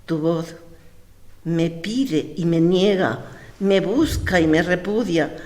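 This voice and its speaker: A woman with an Andalusian Spanish accent reciting poems in a measured, deliberate way. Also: fairly low pitch, a little mellow. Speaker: poetry reciter. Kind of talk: poetry recitation. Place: lecture hall. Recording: echoey hall.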